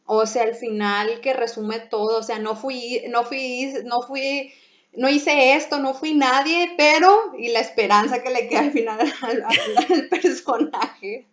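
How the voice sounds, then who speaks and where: A young woman with a Mexican accent chatting as host, lively, laughing often and restarting her phrases. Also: fairly high pitch, measured pace. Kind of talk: casual conversation. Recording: echoey room, dull lo-fi sound.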